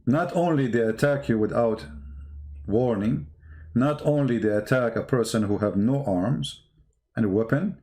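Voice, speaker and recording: A man's voice: medium pitch, unhurried, warm tone. A man who speaks English as a second language, giving impromptu polemical commentary in an emphatic, repetitive way with rhetorical questions. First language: not English. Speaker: online commentator.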